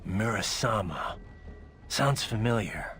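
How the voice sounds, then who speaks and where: Gruff cyborg merc guy, dry n wry, short lines, game cutscene w music under. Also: on the low side, expressive, slow pace, fairly bright tone, heavily acted. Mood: dry, curious. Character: gruff, terse male cyborg mercenary, blunt and wry. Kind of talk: video game cutscene voice acting.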